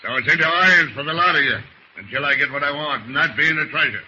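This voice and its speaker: A menacing old sea villain in a vintage radio serial. He is smug and commanding, with a very sharp, forceful voice. The recording is dull and hissy. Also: on the high side, fast talker. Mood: threatening. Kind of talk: 1940s radio acting.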